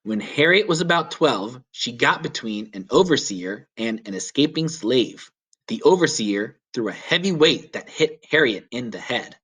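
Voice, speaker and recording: A man reading a children's story aloud as a teacher would, with clear, deliberate, emphatic phrasing. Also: medium pitch, fairly bright tone, rough recording. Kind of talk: children's read-aloud narration.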